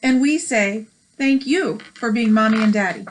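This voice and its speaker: Higher-pitched American woman reading aloud. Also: normal speed, a little hiss or hum. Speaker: read-aloud host. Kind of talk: reading a picture book aloud with chatty asides.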